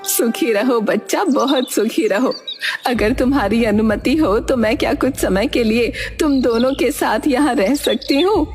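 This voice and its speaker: A woman's voice: a little high, unhurried, a little mellow, soft background music, voice pushed up, close to an everyday voice. A woman voicing a cunning hunchbacked woman who poses as a sweet-talking aunt in a storybook tale. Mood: affectionate, coaxing. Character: scheming hunchbacked woman posing as a loving aunt.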